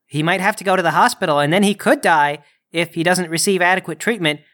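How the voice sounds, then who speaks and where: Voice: medium pitch, quick pace, fairly bright tone, close to an everyday voice. A player speaking in character in a tabletop game, explaining things in a matter-of-fact way.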